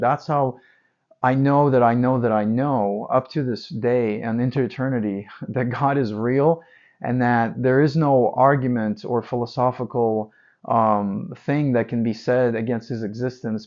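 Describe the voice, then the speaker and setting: A man with a Ukrainian accent speaks straight to the viewer, telling his faith story with earnest conviction. His voice sits in an ordinary middle pitch, and he often stacks repeated words for emphasis between hesitant fillers. The recording sounds a little dull and lo-fi. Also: darkish tone. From Ukraine, Odessa. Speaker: evangelist. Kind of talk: personal faith testimony to camera.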